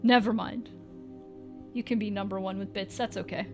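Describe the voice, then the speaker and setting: A woman's voice: mid-range voice, steady tone, moderate pace, over music. A woman livestreaming, chatting casually with viewers in a playful, teasing way and swearing now and then.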